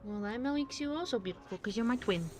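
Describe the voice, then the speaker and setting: A woman's voice: a little high, lively intonation, fast talker. A woman streaming a game, giving casual live reaction commentary. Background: game music and dialogue.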